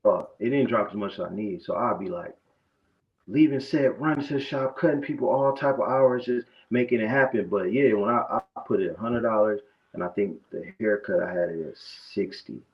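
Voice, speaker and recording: Gravelly-voiced man. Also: on the low side, normal speed. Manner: loose, conversational storytelling with repeated restarts. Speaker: barber guest. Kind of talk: casual interview talk. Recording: dull, muffled lo-fi sound.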